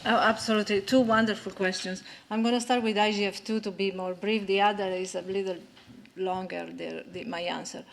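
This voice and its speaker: A woman giving a scientific talk and answering audience questions, explaining with many pauses and restarts. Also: mid-range voice, steady tone, vocal fry, slight background noise. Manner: explanatory, with frequent fillers and restarts. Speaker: conference speaker.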